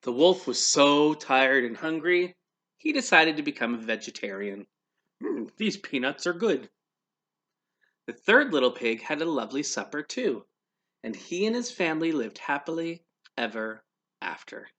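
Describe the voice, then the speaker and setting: A man reading a storybook aloud to children in an expressive, teacherly way, putting questions to his listeners. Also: on the high side. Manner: pauses to quiz young listeners.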